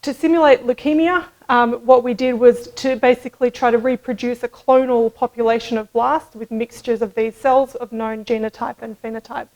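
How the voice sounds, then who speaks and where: A middle-aged woman giving a scientific conference talk at a podium microphone, explaining in a measured way with some hedging. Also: fairly high pitch, steady tone, a little mellow, low-quality recording. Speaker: medical researcher presenting at a conference. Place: indoor conference room, at a lectern.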